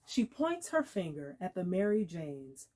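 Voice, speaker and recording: A woman giving a dramatic literary reading, measured and expressive, with deliberate pauses. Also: on the low side. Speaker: actor reading aloud.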